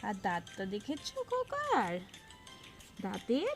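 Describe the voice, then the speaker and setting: A woman's voice: medium pitch, huge pitch swings, takes it slowly, faint music behind. A woman presenting and reciting nursery rhymes in a sing-song way, speaking directly to small children. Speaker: host of a children's rhyme channel.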